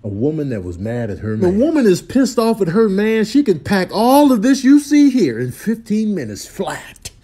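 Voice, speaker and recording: Animated high-voiced man doing comic commentary. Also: normal speed, few pauses. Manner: exaggerated, incredulous, slangy. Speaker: voiceover comedian. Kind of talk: comedic commentary over clips.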